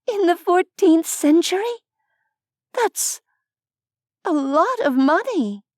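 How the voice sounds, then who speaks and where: A curious young woman asks quick, eager questions in an audiobook, as a narrator voices her. Her voice sits fairly high. The recording is dry and close. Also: dry recording, close to an everyday voice. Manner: bright, inquisitive, lively questions. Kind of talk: audiobook character voice.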